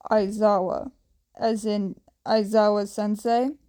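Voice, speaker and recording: A woman doing a loud, brash teenage boy. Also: medium pitch, flat delivery, slow pace, clean tone, lightly acted. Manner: blunt, teasing.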